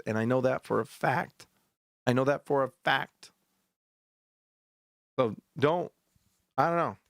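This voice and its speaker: A man hosting a post-game basketball show, ranting bluntly and heatedly with a sore, hoarse throat. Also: medium pitch, no room sound, studio quality. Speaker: sports podcast host.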